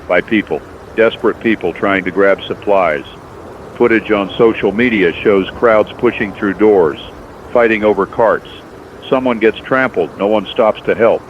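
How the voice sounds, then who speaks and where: A man narrating a scripted first-person horror story as a radio host, calm and reflective. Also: on the low side. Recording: dull lo-fi recording with faint noise.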